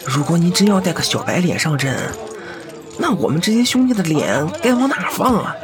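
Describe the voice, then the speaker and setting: Audio drama henchman: indignant, loyal, excitable, higher register, animated delivery, music and crowd behind. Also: brisk. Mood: sneering, indignant. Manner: chatty, sneering, fawning. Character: excitable, indignant, loyal young male gangster underling. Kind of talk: Mandarin audio drama acting.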